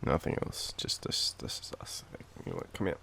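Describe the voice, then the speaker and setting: A young Australian man with a cold, coughing now and then, murmuring softly and teasingly in an intimate boyfriend roleplay. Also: fairly quick, a little hiss or hum. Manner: soft, drowsy, teasing murmur.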